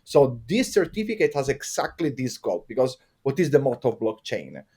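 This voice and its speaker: A man with an Italian accent, a podcast guest telling his story in casual, enthusiastic talk with frequent pauses. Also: medium pitch, big pitch swings, darkish tone, breathy edge. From Italy.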